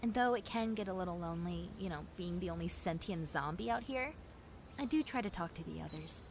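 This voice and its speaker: A woman playing a friendly, clumsy young female zombie in a roleplay audio drama, talking in a chatty, expressive, rambling way. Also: fairly low pitch, a little fast, vocal fry, background noise. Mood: wistful, chatty. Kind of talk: roleplay audio drama, conversational monologue addressed to listener.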